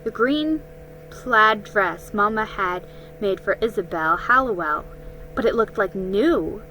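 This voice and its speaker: A woman giving a dramatic reading of a children's story, careful and a little halting. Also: mid-range voice, expressive, sharp tone, faint music behind, rough recording. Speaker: storybook reader.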